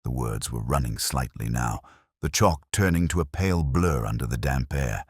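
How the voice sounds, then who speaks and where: Male actor as detective narrator, rumbling low register, lively intonation, brooding, erudite, measured, middle-aged, audio drama. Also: husky, no echo, studio quality, exaggerated voice. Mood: brooding, wistful. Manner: measured, literary, reflective. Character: brooding, erudite male English police detective, melancholy, observant.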